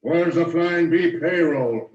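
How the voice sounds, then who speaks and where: An actor playing a bossy, curt male outlaw in an old western drama, barking orders. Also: fairly high pitch. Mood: threatening. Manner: loud, curt, slow commands. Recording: muffled, echoey old drama recording, distant.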